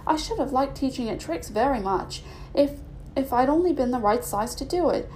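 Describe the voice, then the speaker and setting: A woman's voice: sits high, expressive, normal speed, darkish tone, a little hiss or hum, voice pushed up, poor audio. A woman voicing a storybook little girl who is polite, puzzled and a little hesitant. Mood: musing, flustered. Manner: polite, shy, hesitant, wordy.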